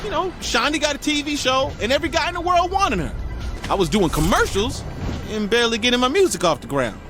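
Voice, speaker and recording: An actor playing a cocky but let-down gangster rapper in a video game audio log, rueful and self-justifying. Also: male voice, very high-pitched, very animated, fairly quick. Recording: music and engine noise behind.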